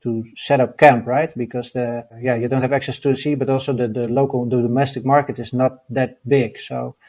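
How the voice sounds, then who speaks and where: Dutch-accented man, flat halting speech. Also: mid-range voice. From Netherlands. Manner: halting, many fillers and restarts. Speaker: guest, mining entrepreneur. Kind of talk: interview answers.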